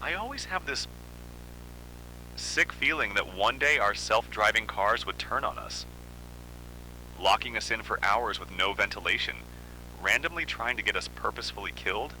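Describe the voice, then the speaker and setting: A man playing an uneasy car company spokesman haunted by dread, in a brooding, halting horror monologue. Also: lowish voice, animated, sharp tone, slight background noise, poor audio. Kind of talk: scripted analog horror monologue.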